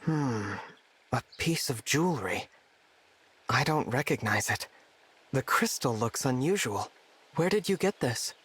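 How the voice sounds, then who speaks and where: A man playing a gentle, earnest young divine hero in a video game, soft-spoken and formal in manner. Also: mid-range voice, lively intonation, measured pace, pauses often, slightly rough, exaggerated voice. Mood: thoughtful, sincere. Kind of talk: scripted video game voice acting.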